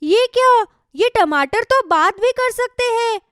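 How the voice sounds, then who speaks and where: High, animated village wife, acted by a woman. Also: takes it slowly, dry recording, clean studio sound, exaggerated voice. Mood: astonished. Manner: dramatic, wide-eyed exclaiming. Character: easily frightened, hopeful village wife. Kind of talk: children's moral story cartoon acting.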